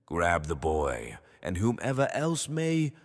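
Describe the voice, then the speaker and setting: Smug tyrant for audio drama, played by a man: lowish, theatrical, menacing, in a big reverberant space. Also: wildly swooping pitch, slow pace, heavily acted. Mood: smug, sinister. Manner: mock-polite, theatrical, switching from exasperated to coldly quiet. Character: menacing, smug dictator of the world, ruthlessly calm. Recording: long reverberant hall.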